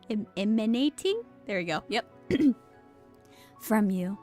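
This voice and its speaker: A woman's voice: medium pitch, sing-song, slow, darkish tone. A woman who is a little sick with a cold, chatting casually as a game streamer, rambling, restarting her sentences and easily amused. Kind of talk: casual live game stream commentary, reading dialogue aloud. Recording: game music behind the voice. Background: game music.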